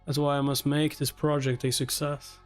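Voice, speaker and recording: A man with a fairly high voice does a casual live read-along for viewers. He talks informally and swears offhandedly. Soft music plays behind him. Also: level tone, moderate pace. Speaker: livestreamer reading a webtoon aloud and reacting. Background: background music.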